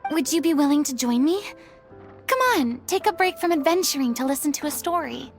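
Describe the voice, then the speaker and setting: A woman's voice: high-pitched, brisk, soft background music. A woman voicing a proud, theatrical young former stage star with grandiose airs in an anime video game. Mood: eager, curious. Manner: grandiose, dramatic, ornate wording.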